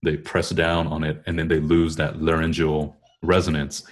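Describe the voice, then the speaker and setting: A man's voice: very deep voice, monotone, normal speed. A man explaining vocal technique casually, often rephrasing himself as he goes. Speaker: choral bass singer discussing vocal technique.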